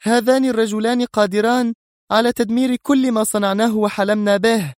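A man voicing a stern, short-tempered female commander in an audiobook, speaking in a sharp, strained, commanding way. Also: high-pitched, fairly even intonation, brisk, no echo, clean studio sound, voice pushed up, close to an everyday voice. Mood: tense, angry. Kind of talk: audiobook character voice.

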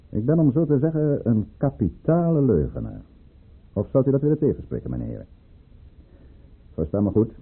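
An actor playing a scheming, high-ranking courtier in a radio play, with measured, formal, probing speech. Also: male voice, creaky, breathy edge, exaggerated voice. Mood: ironic, theatrical. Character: scheming, silky high-ranking male courtier conspiring against the tsar. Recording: muffled, narrow-band old radio recording.

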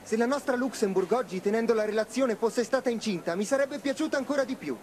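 A man's voice: very high voice, quick pace, a little mellow. An actor playing an eager working-class man speaking up at a political meeting in a radio drama. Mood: eager, outspoken.

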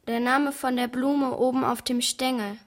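A woman's voice: sits high, flat delivery, normal speed, natural voice. A woman playing a guarded, innocent-sounding eleven-year-old girl in a German radio play, giving terse, evasive answers.

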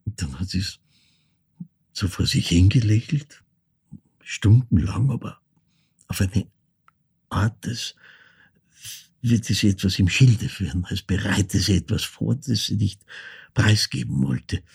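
An older actor with an Austrian accent playing a reflective ageing man who recounts his wartime childhood in a halting, hushed literary monologue. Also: lowish voice, exaggerated voice. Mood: pensive, subdued. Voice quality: gravelly, husky. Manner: halting, deliberate, hushed, broken phrasing. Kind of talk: literary monologue, dramatic storytelling.

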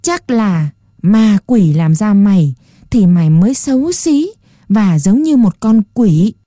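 A woman's voice: medium pitch, expressive, slow, dark tone, no room sound, studio quality. A woman voicing a grumpy, scornful old dollmaker who scolds and shoos a child away. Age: older. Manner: gruff, scolding, dismissive.

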